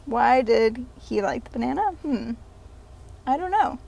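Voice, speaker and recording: A woman's voice: on the high side, animated, measured pace, vocal fry, a little mellow, exaggerated voice, low-quality recording. A woman voicing Jelly, an eager puppet sidekick who plays along and laughs at jokes. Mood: playful.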